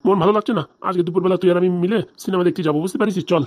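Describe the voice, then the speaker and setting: A man's voice: high voice, fairly even intonation, rapid-fire, darkish tone. A man voicing a consoling, homely middle-aged village friend in a comedy cartoon, chatty and reassuring. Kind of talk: Bangla comedy cartoon dialogue.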